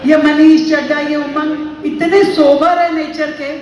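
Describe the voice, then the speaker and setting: A woman's voice: high-pitched, little pitch movement, slow, darkish tone, some chatter behind. A woman giving a chatty, anecdotal speech at a ceremony, raising her voice for punchlines. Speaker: political speaker. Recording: echoey hall, mic from far off.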